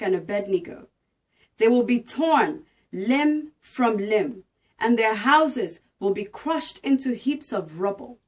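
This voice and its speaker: A woman's voice: fairly high pitch, recorded from a distance, pitched above the performer's own voice. A woman voicing a proud, awestruck king in a dramatic scripture reading, with a commanding, declamatory delivery. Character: proud, awestruck Babylonian king proclaiming loudly.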